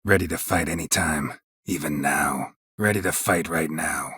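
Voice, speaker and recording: A man voicing a gruff, world-weary monster hunter in a video game, with a husky rasp and terse, deadpan delivery. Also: extremely low pitch, moderate pace, no room sound, clean studio sound, exaggerated voice. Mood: dry, matter-of-fact. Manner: terse, deadpan, clipped phrases. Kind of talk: video game character dialogue.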